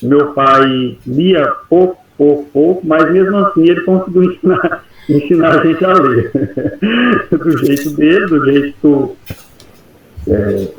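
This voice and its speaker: Gravelly man from telling his story. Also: fairly high pitch, expressive, very echoey, lo-fi sound. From Goiás, Brazil. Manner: unhurried, rambling, folksy storytelling with soft laughs. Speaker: mentee teacher. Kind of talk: personal life story in an online meeting.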